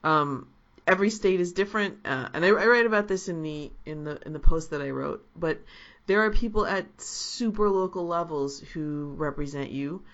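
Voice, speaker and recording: A woman's voice: low voice, moderate pace, few pauses, fairly bright tone, muffled recording. A woman co-hosting a casual podcast chat, speaking earnestly with a sore throat. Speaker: podcast co-host.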